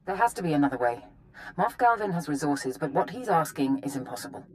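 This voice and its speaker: A proud military captain from a video game. Her voice sits fairly low but moves widely in pitch. She is formal and emphatic, with unease under the resolve. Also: big pitch swings, fast talker, low-quality recording. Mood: reluctant, disgusted. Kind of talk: video game character dialogue.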